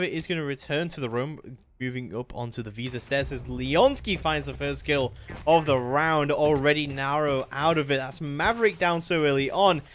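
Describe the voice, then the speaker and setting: A male esports commentator with a mid-pitched voice and an excitable play-by-play delivery. He works live over a video game match, with faint noise and clicks behind him. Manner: excitable, building to shouts at big plays.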